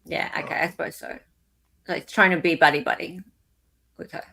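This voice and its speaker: A woman's voice: low-pitched, fairly even intonation, normal speed, creaky, bright, forceful tone. An Australian woman hosting a casual live panel chat, speaking with an Australian accent.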